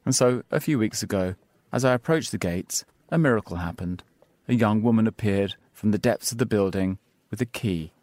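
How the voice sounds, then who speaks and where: A man's voice: lowish voice, darkish tone. Man narrating a wry travel essay. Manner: measured, wry storytelling. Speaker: columnist reading his piece. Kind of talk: scripted narration.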